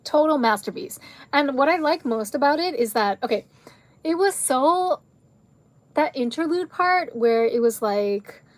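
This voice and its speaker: A woman chatting to camera in a rambling, tangent-filled way, full of fillers, her voice going hoarse. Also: high voice, expressive, measured pace, no room sound. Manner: frequent fillers like "like" and "um". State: losing her voice. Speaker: video creator reviewing a film. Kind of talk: casual chat to camera.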